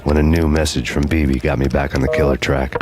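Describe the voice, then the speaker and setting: An American man playing a brooding, world-weary noir avenger, narrating deadpan in a hardboiled game. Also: extremely low pitch, fast talker, noisy background. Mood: brooding, bleak.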